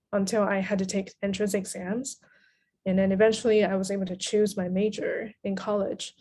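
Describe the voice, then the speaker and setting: Mid-pitched woman, explanatory interview guest. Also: a little mellow. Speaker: guest, literary translator. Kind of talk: casual interview conversation.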